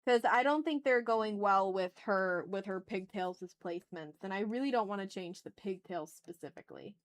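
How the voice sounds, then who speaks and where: A woman's voice: mid-range voice, moderate pace. Woman thinking aloud on a casual stream. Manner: informal, mutters to self, mild swearing. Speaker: art livestream host. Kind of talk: casual rambling chat while drawing.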